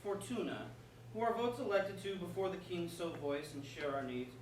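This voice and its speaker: A man's voice: sits high, moderate pace, slight background noise, natural voice. A man playing a ceremonious wedding priest in a staged verse comedy, proclaiming formally. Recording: echoey room, far from mic.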